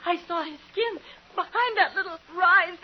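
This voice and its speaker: A woman's voice: very high-pitched, moderate pace, a loud crowd. A woman playing a terrified, helpless American frontier bride, breathless and pleading, in melodramatic old radio style. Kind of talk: melodramatic 1950s radio acting. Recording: muffled, very noisy vintage radio recording.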